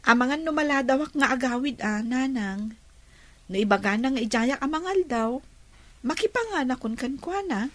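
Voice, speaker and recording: Actress doing sweet chatty young ilocano village girl, pretty high voice, radio drama. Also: expressive, normal speed, dry recording. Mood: respectful. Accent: Filipino (Ilocano).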